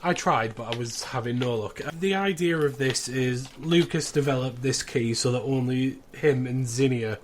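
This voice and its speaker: A man's voice: mid-range voice, moderate pace, hardly any pauses, slight background noise. British man, chatty and wry. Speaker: podcast host. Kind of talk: casual recap of a show's plot.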